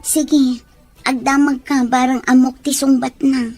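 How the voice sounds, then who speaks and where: A woman's voice: sits high, level tone, a little slow, a little mellow, faint music behind. A woman playing an outspoken, concerned best friend in an audio soap drama, pressing for answers emphatically. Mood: guarded, confiding. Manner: emphatic, probing.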